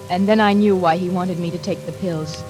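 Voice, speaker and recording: An actress playing an anxious, trapped daughter in a 1940s suspense radio drama, tense and dramatic, halting when flustered. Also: female voice, fairly low pitch, fairly even intonation, close to an everyday voice, rough recording. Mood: fearful. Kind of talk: 1940s radio acting, first-person suspense narration. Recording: vintage radio drama with music behind.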